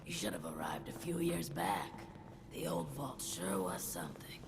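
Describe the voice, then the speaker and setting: An actor playing a wistful vault dweller in a video game, speaking in a breathy, gravelly voice about better days. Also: male voice, fairly low pitch, big pitch swings, normal speed, noisy background, exaggerated voice. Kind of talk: scripted video game background dialogue.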